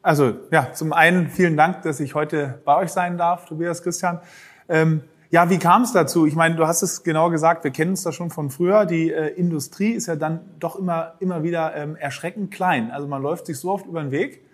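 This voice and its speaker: A man from northern Germany talks as a guest on a podcast. His voice is somewhat high and husky. He answers in a relaxed, rambling way, with plenty of fillers and restarts. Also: brisk. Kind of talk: conversational interview answers.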